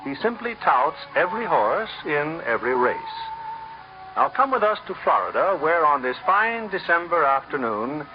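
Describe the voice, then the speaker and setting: Casting: formal male presenter for old-time radio theater, slightly high voice, dulled broadcast sound. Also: very animated, normal speed, lo-fi sound, music in the background. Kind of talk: formal scripted narration, 1950s radio.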